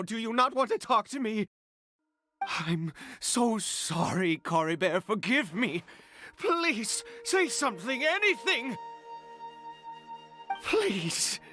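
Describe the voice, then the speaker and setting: A grieving father from a dramatic video game, pleads in a tearful voice that keeps breaking. He speaks slowly and haltingly, with anguish that sends his pitch sweeping up and down. Music plays behind him. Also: bright, forceful tone, exaggerated voice. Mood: desperate pleading. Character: grieving desperate father, bitter toward the gods.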